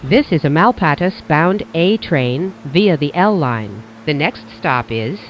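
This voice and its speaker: Lively-pitched transit announcer, dull lo-fi sound. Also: a little hiss or hum. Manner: formulaic, measured station-announcement delivery. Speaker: train announcer. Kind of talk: scripted automated transit announcements.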